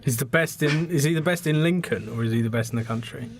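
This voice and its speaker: A man bantering and firing questions in a casual improvised comedy podcast chat, quick to laugh. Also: medium pitch. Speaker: podcast host. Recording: noticeable background noise, clicks.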